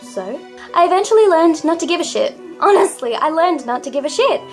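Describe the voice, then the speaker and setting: High-voiced girlish young woman vlogger. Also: expressive, fairly quick, faint music behind. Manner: high girlish voice, dramatic and self-mocking. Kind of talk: confessional vlog monologue.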